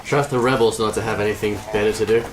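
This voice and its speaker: A man's voice: on the low side, steady tone, fast talker, background noise, lightly acted. A man playing a cocky, wisecracking smuggler pilot in an audio drama, glib and self-assured. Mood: confident pitch.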